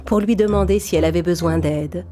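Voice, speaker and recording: A woman's voice: fairly low pitch, brisk, over music. A woman narrator telling a children's fairy tale in a scripted storytelling style.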